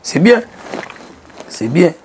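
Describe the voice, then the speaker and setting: A young man talking as a guest in a casual studio interview, animated and repeating phrases for emphasis, on a lapel mic. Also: on the high side, takes it slowly, slight background noise, rough recording. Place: small indoor studio set.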